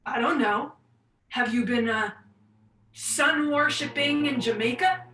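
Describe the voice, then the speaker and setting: An actress playing a resentful, sarcastic adult daughter in a stage play, dry and accusing, recorded in an echoing room. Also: female voice, high voice, slow pace, sharp tone, a little hiss or hum, full character voice.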